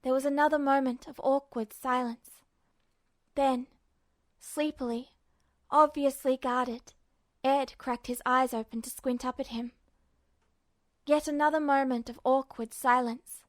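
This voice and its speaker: A woman reading fiction aloud as an expressive, scripted audiobook narration. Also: high-pitched, unhurried, long pauses, no echo. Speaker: fan fiction audiobook reader.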